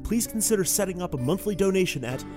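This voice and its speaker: A man, the executive producer, reading scripted podcast credits in a measured, formal way. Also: high-pitched, lively intonation, over music.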